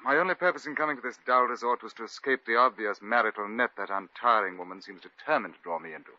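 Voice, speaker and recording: An actor playing a bored, pompous, dryly witty British aristocrat in a 1950 radio comedy. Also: male voice, medium pitch, quick pace, bright, forceful tone. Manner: pompous, dry, drawling wit. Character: bored, suave aristocratic British lord craving excitement. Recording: muffled vintage 1950s radio recording.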